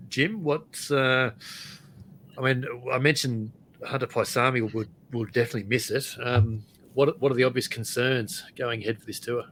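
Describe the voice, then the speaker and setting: A man's voice: medium pitch, a bit bright, a little hiss or hum, rough recording. A man hosting a rugby podcast in casual chat, steering the talk and asking follow-up questions. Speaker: podcast host.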